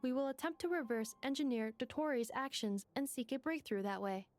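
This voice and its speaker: A woman's voice: high-pitched, lively intonation, a little fast, soft background music. An actress playing an earnest, supportive young female researcher in a video game, speaking formally.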